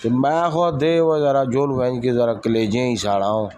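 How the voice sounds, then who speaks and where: A man's voice: medium pitch, fairly even intonation, moderate pace, a little mellow, close to an everyday voice. A man playing a chatty, grumbling, hard-up villager in an amateur rural audio drama, speaking colloquially.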